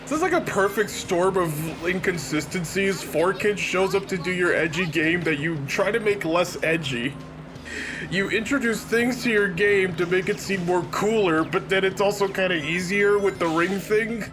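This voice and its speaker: A man's voice: high voice, normal speed, music in the background. A man giving casual video game commentary in a critical, wry manner. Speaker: let's play commentator.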